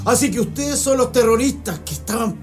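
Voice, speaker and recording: A man's voice: extremely high pitch, expressive, fairly quick, faint music behind. A man with a Chilean accent playing a menacing, mock-polite army captain in a radio drama. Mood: cold menace. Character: menacing, sarcastic army captain running interrogations.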